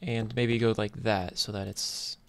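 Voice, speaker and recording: Low, creaky-voiced man chatting over gameplay. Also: little pitch movement, a little slow. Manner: laid-back, hesitant, thinking aloud. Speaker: gaming YouTuber. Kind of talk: casual gameplay commentary. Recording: noisy mic, clicks and typing.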